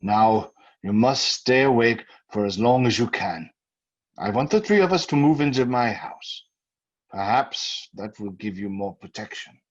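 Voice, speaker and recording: Grave occult scholar for vintage radio horror: husky, fretful, deliberate, on a dull lo-fi recording. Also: male voice, mid-range voice, lively intonation. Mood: grave, troubled. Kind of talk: old-time radio drama acting. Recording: muffled old radio recording.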